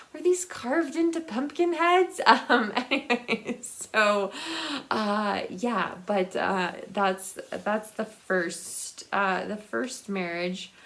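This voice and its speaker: A woman's voice: mid-range voice, vocal fry, a bit bright. A woman chatting casually and enthusiastically about books as a vlog host, in a rambling, giggly, unscripted way. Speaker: book vlogger and host. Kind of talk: casual unscripted book chat.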